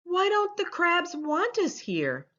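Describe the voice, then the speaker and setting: Storybook part: tiny shy boy turtle female reader, innocent, sweet, slow-paced, lo-fi recording. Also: extremely high pitch, huge pitch swings, a bit bright, voice pushed up, full character voice. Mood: timid, puzzled. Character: tiny timid sweet male child turtle.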